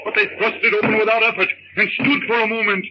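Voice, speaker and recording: An actor playing a solemn, declamatory narrator of a jungle adventure in a 1930s radio drama. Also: male voice, high voice, little pitch movement, fast, natural voice. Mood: urgent. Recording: noisy, dull scratchy 1930s radio recording.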